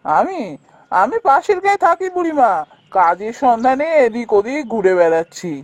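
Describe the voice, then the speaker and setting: High-voiced earnest young prince. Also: male voice, sing-song, moderate pace, heavily acted. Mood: chatty, perplexed. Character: earnest, polite young prince posing as villager. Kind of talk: animated fairy tale voice acting.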